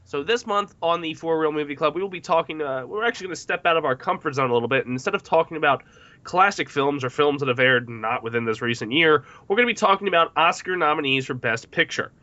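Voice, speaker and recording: Male podcast host, bright and fairly high voice, casual and self-mocking, dry close sound. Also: expressive, fast, no echo, muffled recording. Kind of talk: casual film discussion with read-out notes.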